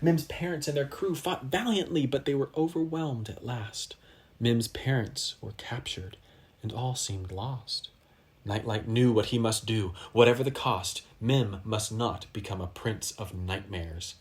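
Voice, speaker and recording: A man reading a children's story aloud expressively, with dramatic pauses for effect. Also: mid-range voice. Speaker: storybook reader.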